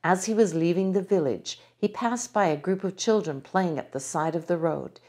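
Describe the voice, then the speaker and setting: A woman reading a storybook aloud in a measured, gentle way, as a storyteller. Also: on the low side. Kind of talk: scripted storybook narration.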